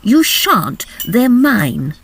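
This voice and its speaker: A high, animated voice of a bossy, grumbling birthday girl , acted out by a woman. She scolds and claims everything as hers, in the manner of a storybook radio play. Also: extremely slow, creaky, slightly rough, full character voice. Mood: cross, possessive. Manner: scolding, possessive. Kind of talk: storybook dramatic reading.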